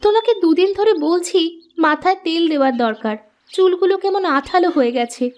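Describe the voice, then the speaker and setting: A woman voicing a nagging but caring village housewife in a cartoon, with an expressive, chiding manner. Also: very high voice, brisk. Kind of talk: cartoon voice acting.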